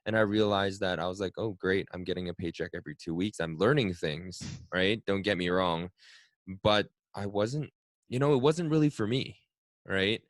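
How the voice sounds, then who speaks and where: Brief: male host, 30s, Cantonese native, very low voice, dry close sound, musing and chatty. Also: moderate pace, no room sound. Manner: reflective, frequent "right?" tag questions. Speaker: podcast host. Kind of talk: casual conversational interview.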